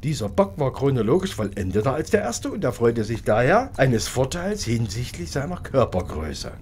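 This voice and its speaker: A man narrating a scripted fairy-tale parody in an expressive, mock-earnest storybook manner. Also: medium pitch, moderate pace, a little hiss or hum.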